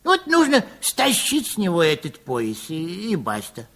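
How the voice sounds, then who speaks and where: Excitable, fussy pharmacist, lively pitch. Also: male voice, unhurried, gravelly, heavily acted. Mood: scheming, eager. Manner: fussy, stammering, repeats himself. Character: kindly excitable male pharmacist, eccentric good-hearted schemer. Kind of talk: 1960s Soviet radio play acting. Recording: old radio drama with music.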